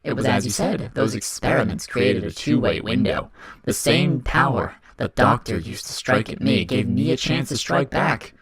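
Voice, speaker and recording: Male narrator as sinister child spirit, animated swooping pitch, fairly low voice, cold, mocking, formal, audio fiction villain. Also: a loud crowd. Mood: cold, defiant. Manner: formal, taunting, deliberate phrasing. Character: menacing ghostly child of darkness, cold, mocking, demanding. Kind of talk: dramatic audio fiction character reading.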